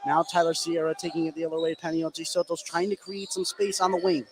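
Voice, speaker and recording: Higher-voiced man calling basketball over gym noise. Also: quick pace. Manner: narrates action continuously, excitable on big plays. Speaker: play-by-play basketball commentator. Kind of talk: live radio sports commentary. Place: courtside in a sports gym during a game. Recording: clear gym noise behind voice.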